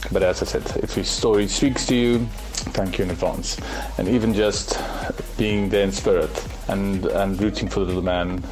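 A man, a proud father speaking earnestly on a radio interview, pausing often with fillers. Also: sits low, fairly even intonation, music in the background, low-quality recording. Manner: earnest, hesitant with many fillers. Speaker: guest's father.

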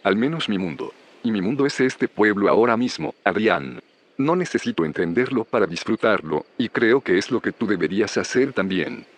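A self-assured village inventor from a podcast drama, low in pitch, with an accent from Spain. He speaks in measured, firm phrases meant to persuade. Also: animated. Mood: firm, persuasive. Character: self-assured male inventor, content in his secluded village. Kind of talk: audio drama acting, scripted dialogue.

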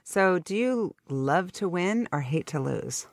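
A woman's voice: on the low side, animated, creaky, dry recording, clean studio sound. Upbeat female podcast host. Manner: upbeat, enthusiastic, quick interjections. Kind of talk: podcast interview, rapid-fire questions.

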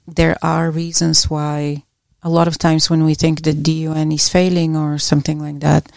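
Middle-aged woman academic guest from Bulgaria, low gravelly voice, measured and reflective answers. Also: little pitch movement, darkish tone, no echo, studio quality. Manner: measured, reflective, with small restarts. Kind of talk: thoughtful interview answers.

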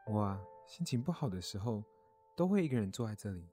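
A man plays a gentle, hospitable mole in a children's audio story. The pitch moves in wide, animated swings while the manner stays caring and reassuring. Faint music plays underneath. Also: brisk. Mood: relaxed. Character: kindly grown-up mole, gentle, hospitable and protective.

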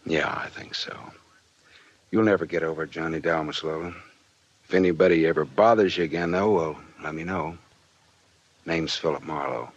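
An actor playing a hard-boiled, wry but decent American private detective in a 1940s radio drama, terse and slangy. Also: male voice, rumbling low, normal speed, long pauses. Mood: gentle, guarded. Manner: terse, wry, slangy tough-guy delivery. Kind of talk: 1940s radio noir acting and narration. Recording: dull vintage 1940s radio recording, organ-like music behind.

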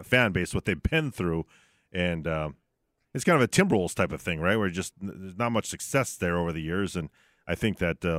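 A man's voice: low voice, a bit bright, no room sound, studio quality. A man co-hosting a radio sports show, talking casually and conversationally, often checking in with short tag questions. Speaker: sports radio host in studio. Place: radio studio.

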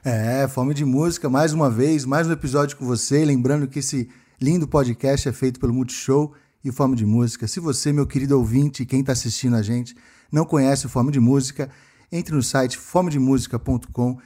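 São man co-hosting, medium pitch. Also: steady tone, normal speed, warm tone. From São Paulo, Brazil. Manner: affectionate, addresses people with endearments. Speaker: podcast co-host. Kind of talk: casual show presenting.

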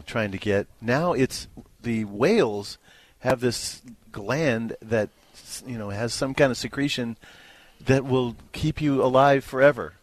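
A man with a mid-pitched voice talks through a film review in an informal, conversational way. He leans on key words for emphasis. The sound is close and dry, with very little room. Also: no echo. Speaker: film critic.

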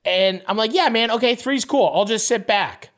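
A man's voice: high-pitched, normal speed. A young man co-hosting a podcast, telling a long rambling story in casual chat, repeating words and jumping to quoted voices. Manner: animated, rambling, repeats words and restarts, swears. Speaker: podcast co-host.

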